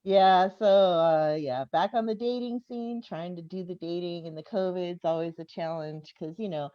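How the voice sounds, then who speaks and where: A woman's voice: on the low side, moderate pace. A woman in her fifties performing stand-up comedy, talking conversationally to the audience and often chuckling at her own jokes. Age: 50s. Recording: dull, echoey live venue recording.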